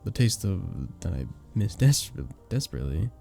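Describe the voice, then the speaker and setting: Guy playing a sweet flirty vampire bartender, asmr roleplay, super mellow dark voice w some gravelly fry, soft-spoken n a bit hesitant. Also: on the low side, big pitch swings, normal speed. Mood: troubled, yearning. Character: charming, sweet, earnest male vampire bartender. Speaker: audio roleplay performer. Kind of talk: ASMR romantic roleplay acting. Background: soft background music.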